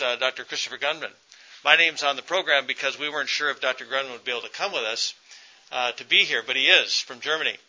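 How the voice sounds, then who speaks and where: A man, a family doctor, introducing the next speaker at a conference in a relaxed way with many fillers. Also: mid-range voice. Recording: dull lo-fi sound.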